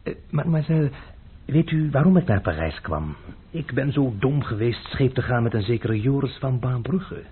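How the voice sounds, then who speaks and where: An actor playing a flippant young adventurer who flatters and jokes in a radio drama, on an old tinny recording. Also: male voice, mid-range voice, normal speed, slight background noise, close to an everyday voice. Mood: wry. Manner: wry, gallant, flattering.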